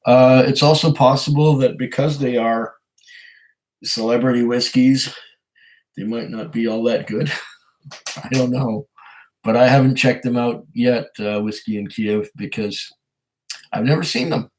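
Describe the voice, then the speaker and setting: A man with a hoarse voice from a cold, hosting a casual live stream, reading viewer comments and chatting in a rambling, hesitant way. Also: medium pitch, fairly even intonation, a little slow, pauses often, poor audio. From Canada. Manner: rambling, hesitant, chuckles often. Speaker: whiskey channel host reading live chat.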